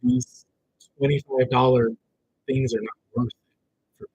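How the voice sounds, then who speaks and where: A man with a raspy voice from a cold, hosting a casual livestream about his notary business and talking straight to his community in slangy, animated chat. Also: mid-range voice, moderate pace, muffled recording.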